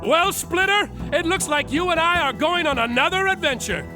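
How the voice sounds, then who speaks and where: A man playing a hammy, high-voiced detective. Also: animated, moderate pace, music in the background, poor audio. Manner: theatrical, over-the-top, comic narration. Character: bumbling hammy male small-town detective, narrator. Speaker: actor and narrator in a live comedy musical. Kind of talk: live comedy musical podcast. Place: live theater stage.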